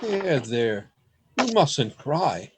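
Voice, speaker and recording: A man's voice: a little high, sing-song, drawn-out words, noisy background, heavily acted. A man acting an earnest, lovestruck and fearful poet in a radio play, with formal, theatrical phrasing, recorded over a video call. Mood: concerned, urgent. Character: earnest, lovestruck, fearful male poet keeping a secret journal. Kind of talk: radio play acting, journal narration and dialogue.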